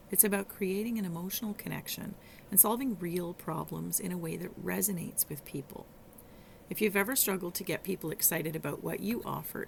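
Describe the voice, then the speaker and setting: A middle-aged woman with a hoarse, rough voice hosts a solo teaching podcast, coaching listeners in a conversational way with some halting restarts. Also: fairly low pitch, a little hiss or hum. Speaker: podcast host and virtual assistant coach.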